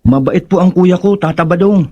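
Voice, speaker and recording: Guy voicing a high-pitched anxious little boy, radio horror drama. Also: moderate pace, warm tone, dull audio. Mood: pleading. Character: young boy Boyet, anxious and pleading younger brother.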